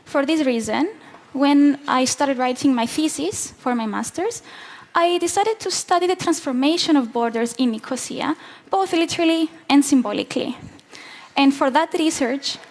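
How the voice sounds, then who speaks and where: A woman in her twenties from southern Cyprus, a native Greek speaker, explaining clearly in a prepared conference talk on a headset mic in a large hall. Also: sits high, moderate pace, darkish tone. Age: 20s.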